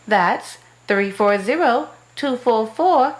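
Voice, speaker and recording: A woman's voice: a little high, animated, slow. A woman reading a radio public service ad in an earnest, emphatic way.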